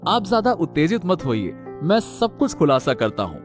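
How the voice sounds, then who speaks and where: A man's voice: a little high, very animated, normal speed, faint music behind. A man playing an earnest royal subject in a cartoon story, explaining things in a serious, urgent way. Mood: earnest, respectful. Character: earnest male subject exposing a conspiracy.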